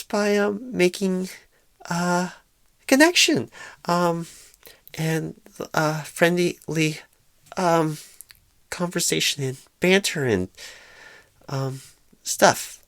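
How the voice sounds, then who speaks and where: A woman playing a shy, inexperienced, nervous job recruiter in an ASMR roleplay, halting and stammering in a soft-spoken, awkward way. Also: fairly low pitch, vocal fry, no echo.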